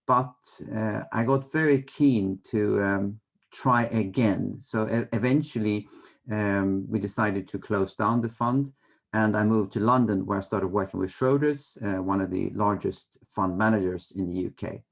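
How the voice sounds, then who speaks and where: A middle-aged man from Sweden with a Swedish accent, giving measured, hesitant explanations as a guest in an interview. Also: lowish voice, gravelly. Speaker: interview guest, fund manager. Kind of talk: conversational interview answers.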